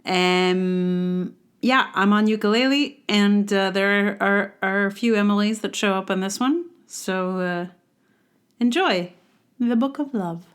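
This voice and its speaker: A woman's voice: mid-range voice, slow, clear, resonant tone. A woman hosting a podcast alone, chatting casually in a wry, rambling way as if thinking aloud. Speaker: podcast host. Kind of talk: casual solo podcast talk.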